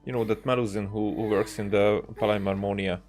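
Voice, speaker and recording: A man's voice: fairly low pitch, steady tone, brisk, darkish tone, faint music behind. A man doing casual live game commentary, hesitant and thinking aloud as he goes. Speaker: video game streamer.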